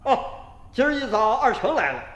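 An actor, shooing people off and mocking. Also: male voice, very high voice, very animated, moderate pace, fairly bright tone, lo-fi sound, full character voice. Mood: brusque. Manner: brusque, mocking. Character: bossy village head, gruff and scornful. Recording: echoey.

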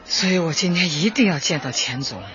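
A woman's voice: lowish voice, lively intonation, brisk, fairly bright tone, a faint crowd. An actress playing a devoted, kindly older woman who serves as a community secretary, earnest and patient, in a radio drama. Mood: earnest, caring. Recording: dull band-limited radio drama, music behind.

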